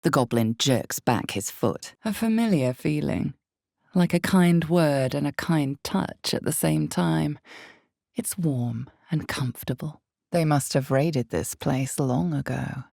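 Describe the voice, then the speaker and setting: Super low, crackly female narrator for a dark fantasy game, ominous and knowing, dramatic, deliberate. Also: animated, no room sound, clean studio sound, heavily acted. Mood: intense, savoring. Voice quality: low, gravelly. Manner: slow, deliberate, dramatic delivery. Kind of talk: scripted second-person fantasy game narration.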